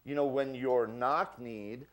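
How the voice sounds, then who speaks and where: A man's voice: mid-range voice, steady tone, slow. A man in his sixties, a ski boot fitter, explaining his gear with props in a folksy, jokey way on a morning TV show set. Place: studio kitchen set.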